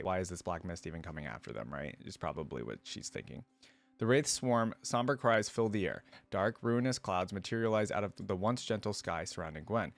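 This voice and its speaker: A man hosting a reaction video, reading story text aloud and adding informal, casual commentary. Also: fairly low pitch.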